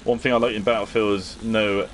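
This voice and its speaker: A young man talks easily over his gameplay, answering chat in a relaxed way. His voice sits a little low. Quiet battle sounds hum beneath him. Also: fairly quick. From United Kingdom. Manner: chatty, laid-back, reads and answers chat. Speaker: gaming livestreamer. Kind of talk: casual live commentary over gameplay.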